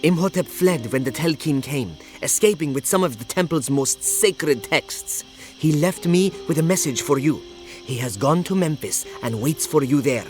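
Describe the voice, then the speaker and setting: A man's voice: on the high side, expressive, normal speed, faint music behind, close to an everyday voice. A man voicing a grave, urgent ancient Egyptian messenger in a video game.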